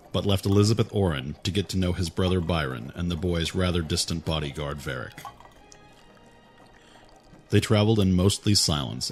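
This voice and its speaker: A man narrating a fantasy audio drama with a steady, even storytelling manner. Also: deep voice, a little hiss or hum.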